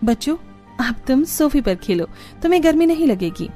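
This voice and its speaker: A woman acts out a cheerful young village daughter-in-law for a cartoon moral story. She sounds earnest and resourceful, pitched a little high, with a gently mellow edge. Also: big pitch swings, normal speed, faint music behind. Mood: soothing.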